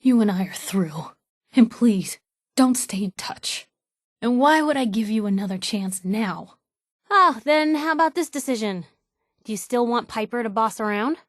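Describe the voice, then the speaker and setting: A woman's voice: on the high side, lively intonation, normal speed, dry recording, clean studio sound. A woman voicing a sardonic, outspoken young newspaper reporter companion in a video game, in a wry conversational way. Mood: angry, disappointed.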